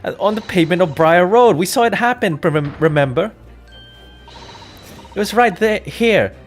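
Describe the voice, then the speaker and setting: Man putting on a high-pitched flustered married woman. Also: animated, measured pace, soft background music. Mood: indignant. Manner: stammering, protesting.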